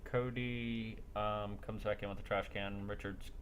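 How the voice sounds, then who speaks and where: A man's voice: on the low side, little pitch movement, measured pace, slight background noise. A man acting as game master, narrating events to players over online voice chat.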